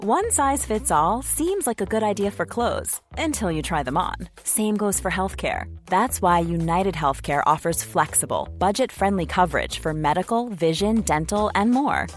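A woman's voice: medium pitch, lively intonation, moderate pace, few pauses, faint music behind. A woman reading scripted commercial narration as an advertising voice-over.

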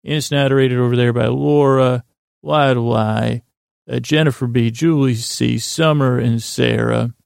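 A man with a heavily creaky, gravelly voice hosts a bedtime podcast. His delivery stays flat and even as he wanders, halts and interrupts himself in a whimsical, self-deprecating ramble. The sound is close and dry. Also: mid-range voice, no echo. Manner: meandering, halting, self-interrupting. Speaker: sleep podcast host.

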